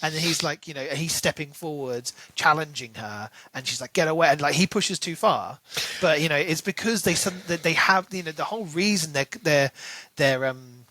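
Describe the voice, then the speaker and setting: A man with a very bright, forward voice pitched a little high chats casually as a podcast co-host. He repeats words for emphasis, and the recording is dry and close. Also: moderate pace, dry recording, rough recording. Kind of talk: casual book discussion chat.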